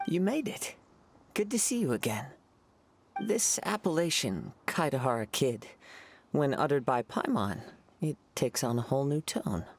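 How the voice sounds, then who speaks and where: A man playing a serene, gentle young wandering poet swordsman in a video game, speaking in calm, measured, poetic phrases. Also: medium pitch, slightly rough, exaggerated voice. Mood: calm, gently amused. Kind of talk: video game character acting.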